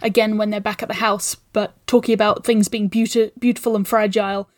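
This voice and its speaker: A woman guest on a podcast, casually analysing film in a thoughtful, halting way as she feels for her words. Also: mid-range voice, little pitch movement, dry recording, studio quality.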